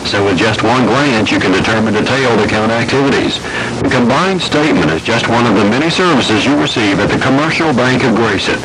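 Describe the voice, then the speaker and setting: A man's voice: mid-range voice, animated, fairly quick. An American man reading a scripted radio advertisement as an announcer. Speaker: commercial announcer. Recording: noisy 1980s radio broadcast recording.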